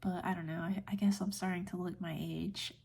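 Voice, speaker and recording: An American woman in her late twenties rambling in a soft-spoken, whispery, breathy ASMR style, gentle and unhurried. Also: fairly low pitch, steady tone. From United States. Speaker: ASMR video creator.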